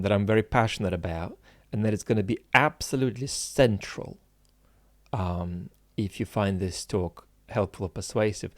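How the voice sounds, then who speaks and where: Deep-voiced, halting reflective man. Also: no room sound. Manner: halting and searching, with frequent fillers and restarts; earnest and reflective, stressing key words. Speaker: political philosopher and channel host. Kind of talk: unscripted solo talk to viewers.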